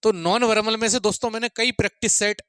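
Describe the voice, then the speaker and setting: A man's voice: high voice, steady tone, fast, a bit bright, clean tone, no echo, studio quality. A man giving an informal exam-prep lecture, repeating his points and often checking in with students in a friendly way.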